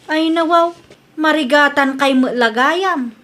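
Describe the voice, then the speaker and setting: Ilocano woman, high voice, disapproving daughter who lectures, audio drama. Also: takes it slowly, fairly bright tone.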